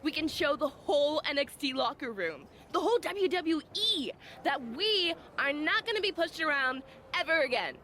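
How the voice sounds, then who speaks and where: Young female wrestler, game cutscene: vengeful, excitable, high swooping pitch. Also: normal speed, few pauses, a little hiss or hum. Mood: excited, defiant. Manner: excitable, emphatic, colloquial. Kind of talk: video game cutscene acting.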